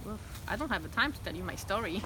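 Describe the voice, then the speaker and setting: Lady in her 30s, mid-pitched, chatting reflective on a phone outside, cars going by. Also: lively intonation, quick pace, sharp tone, low-quality recording. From Belgium. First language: French. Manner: reflective, open and talkative. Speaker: interviewee. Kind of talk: candid personal interview. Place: outdoors in front of her house. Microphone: phone camera. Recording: outdoor phone recording, traffic noise.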